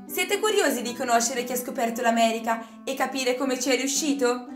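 A woman narrating a scripted children's story in an expressive, dramatic storytelling manner. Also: high-pitched, a little fast. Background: music.

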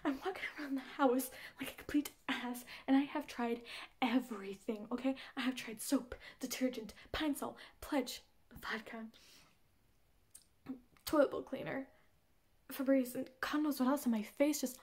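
A woman's voice: high voice, moderate pace, a little mellow, natural voice. A woman in her twenties playing a frantic, exasperated young woman rambling to a friend by phone in a comedy monologue. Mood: flustered, exasperated. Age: 20s. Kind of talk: comedy monologue audition acting.

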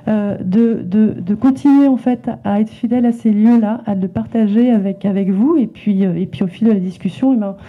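A woman's voice: mid-range voice, normal speed, hardly any pauses. A woman illustrator presenting her work at a library talk, hesitant with many restarts. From France. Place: library event room with small audience. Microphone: handheld microphone passed around. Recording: tinny phone-like sound.

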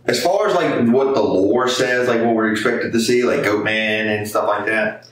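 A man's voice: lowish voice, normal speed. A young man hosting a vlog, talking casually and joking from inside a car at night. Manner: joking, swearing, taunting. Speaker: host. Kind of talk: casual road trip commentary. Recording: distant camera audio with loud noise.

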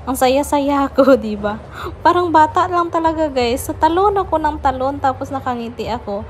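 A woman's voice: high voice, expressive, moderate pace, darkish tone, slight background noise. A Filipino woman narrating a casual vlog, chattily addressing her viewers. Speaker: vlogger.

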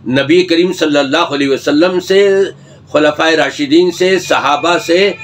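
A man's voice: fairly high pitch, a bit bright. An older man, an Islamic scholar, talks earnestly to the camera, admonishing and gesturing as he speaks from a study lined with books. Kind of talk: religious talk to camera.

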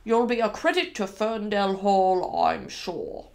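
Woman putting on a measured, courteous manservant. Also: mid-range voice, lively intonation, darkish tone, natural voice. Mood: proud, formal. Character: courteous, formal male Victorian household servant.

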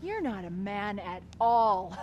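An actress playing a haughty, indignant career woman in a video game, speaking in a shrill, emphatic, contemptuous manner. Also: very animated, takes it slowly, dull audio, slight background noise. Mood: bitter contempt. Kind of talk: video game cutscene acting.

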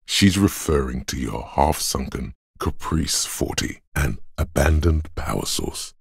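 A man voicing a detective's cool, cerebral inner voice of logic in a video game, measured, condescending and dryly analytical, with a gravelly, husky tone. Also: extremely low pitch, lively intonation, no room sound, studio quality, full character voice. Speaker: voice actor. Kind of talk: scripted game narration.

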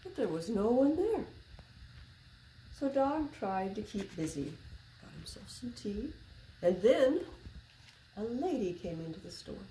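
A woman in her sixties reads to children in an unhurried, expressive way. Her voice is soft-edged and mellow at a medium pitch. She is recorded a few feet from the camera in an echoing indoor room with faint background noise. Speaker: library storyteller. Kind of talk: picture book read-aloud for children. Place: small public library room, indoors.

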